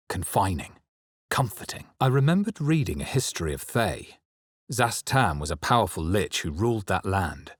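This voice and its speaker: A man playing a grave fantasy game adventurer, with solemn, measured, dramatic delivery as he narrates his journey. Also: lowish voice, slightly rough, dry recording, studio quality. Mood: solemn, intent. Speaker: video game player-character voice.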